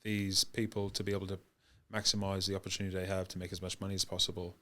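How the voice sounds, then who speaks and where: A man's voice: low-pitched, flat delivery, fast, pushed and bright, a little hiss or hum. A young man from Wales with an accent mixing Welsh and New Zealand, answering podcast questions hesitantly with many ums and restarts. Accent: mixed Welsh and New Zealand. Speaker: guest, professional rugby player. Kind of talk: podcast interview.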